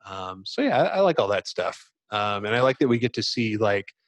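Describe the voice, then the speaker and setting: A man with a fairly low voice hosts a casual gaming discussion. He explains in a loose, halting, rambling manner. The sound is dry, with very little room. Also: sing-song, no echo. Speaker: podcast host. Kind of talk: casual video game discussion.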